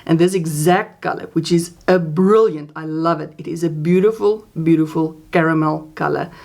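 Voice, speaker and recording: Female crafting host, Afrikaans first language, low gravelly voice, chatty and meandering. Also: moderate pace, a little mellow. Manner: chatty, rambling with many restarts and fillers. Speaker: crochet channel host. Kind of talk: casual crafting chat.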